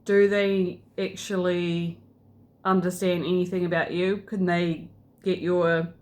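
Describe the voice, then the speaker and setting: A woman's voice: on the low side, level tone, takes it slowly, a little mellow. A woman with a New Zealand accent, rambling casually to camera in a vlog, with many ums and restarts. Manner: hesitant, many fillers and restarts. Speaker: vlogger.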